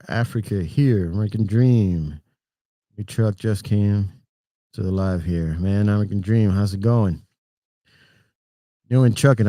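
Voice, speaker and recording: A middle-aged man with a Puerto Rican accent, hosting a relaxed, rambling livestream chat and greeting viewers by name. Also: on the low side, no room sound. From Puerto Rico. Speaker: trucker livestream host.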